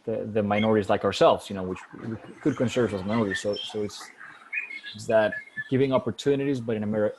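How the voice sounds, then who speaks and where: A man making a measured, reflective point in a casual group discussion on a video call. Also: fairly low pitch, fairly even intonation. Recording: faint noise on video call.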